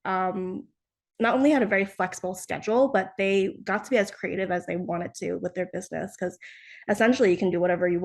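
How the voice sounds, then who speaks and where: Chatty young woman, soft laughs. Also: fairly low pitch. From New Jersey, United States. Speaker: guest, startup founder. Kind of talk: casual interview answers.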